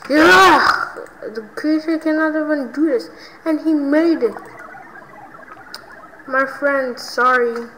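A woman hosting her own gaming channel, giving let's play commentary and reacting loudly to each win and death. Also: on the high side, slight background noise, poor audio.

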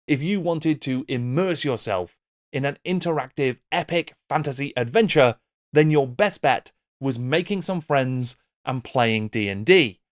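A man's voice: medium pitch, lively intonation, a little slow, no room sound, clean studio sound. A middle-aged British man presenting a scripted video essay with wry, theatrical emphasis. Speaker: YouTube host. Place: small book-lined home room. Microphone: close desk microphone with pop filter.

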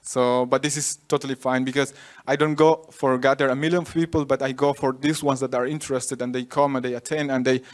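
A man's voice: mid-range voice, flat delivery, brisk. A young man from Peru, a native Quechua speaker, giving a conference talk with many fillers and restarts. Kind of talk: conference presentation. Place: conference room with audience.

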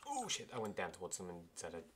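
A young man playing a game, talking in a low-key way and muttering at the screen. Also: wildly swooping pitch, rapid-fire, noisy background. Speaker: gamer. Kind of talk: casual gaming chat.

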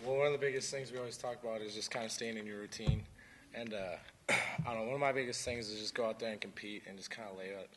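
A young man who pitches in college, answering quickly and evenly at a press conference. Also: fairly bright tone, a little hiss or hum, rough recording. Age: young adult.

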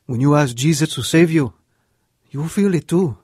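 A man's voice: fairly high pitch, moderate pace, lightly acted. A man playing an earnest, newly converted young brother in a radio drama. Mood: remorseful, hopeful.

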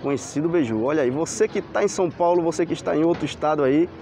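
A man's voice: a little high, fast, warm tone. A man, touring an outdoor street market on camera and exclaiming over the stalls as he walks. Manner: enthusiastic, exclaims "que maravilha", points things out. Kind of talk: walking market tour vlog. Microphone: handheld camera mic, filming while walking. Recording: crowd noise behind.

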